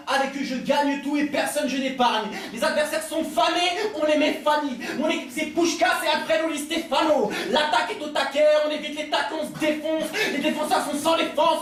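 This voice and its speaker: A man's voice: very high-pitched, distant mic. A man from France performing slam poetry, declaiming rhymed first-person verse rhythmically at a live event. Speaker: slam poet at a poetry competition. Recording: roomy live event captured from afar, faint noise.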